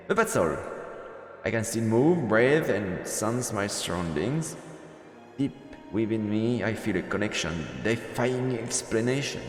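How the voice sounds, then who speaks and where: A man streaming a visual novel, reading the story aloud as dramatic narration with a halting delivery that stumbles over words. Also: on the low side. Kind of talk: dramatic narration of game text. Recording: reverberant, echoey room sound. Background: game music.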